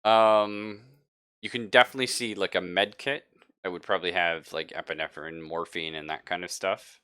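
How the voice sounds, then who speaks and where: A man's voice: sits low, fairly even intonation, normal speed, bright, forceful tone, clear, resonant tone, no room sound, clean studio sound. A man narrating a tabletop roleplaying game as game master, casual and joking, with some swearing. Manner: casual, profane, joking asides.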